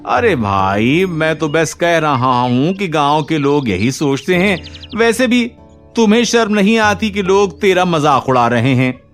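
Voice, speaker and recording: An actor as a sneering village man in a moral-story cartoon. His voice sits high for a man, and he taunts and goads like a scornful friend. Music plays softly behind him. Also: very animated, a little slow. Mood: defensive taunting. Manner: taunting, goading.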